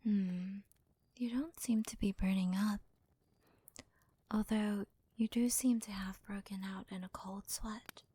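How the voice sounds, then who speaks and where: Woman as a breathy, slow-speaking devoted maidservant. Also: mid-range voice, steady tone, no echo. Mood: concerned, soothing. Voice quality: soft, breathy, often half-whispered. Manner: gentle, deferential, hesitant. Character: devoted, doting young female servant to her master. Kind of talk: ASMR roleplay.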